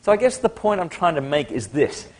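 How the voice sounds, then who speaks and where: A man's voice: a little high, big pitch swings, a little fast, slight background noise. A man with an Australian accent lecturing a university class, explaining step by step and putting questions to the students. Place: lecture hall.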